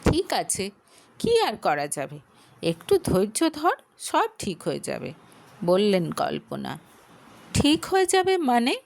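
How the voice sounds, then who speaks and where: A woman narrating a romantic audio story with a Bengali accent, telling it slowly and expressively. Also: no room sound. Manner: slow, expressive storytelling with lively pitch.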